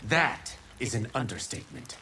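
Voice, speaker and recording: Cocky young monk fighter, really animated, mid voice, bragging in a game. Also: male voice, mid-range voice, moderate pace, a little hiss or hum. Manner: cocky, challenging. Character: boastful young male Shaolin monk champion. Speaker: video game voice actor. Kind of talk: scripted fighting game banter.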